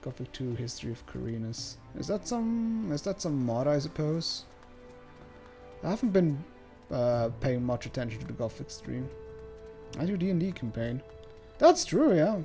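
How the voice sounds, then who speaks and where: A male streamer from Switzerland chats casually and ramblingly as he plays. His voice is mellow and moves up and down a lot, over faint game music. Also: a little high, sing-song, normal speed, vocal fry. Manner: rambling, chatty, jokey swearing. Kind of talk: casual livestream commentary. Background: soft game music.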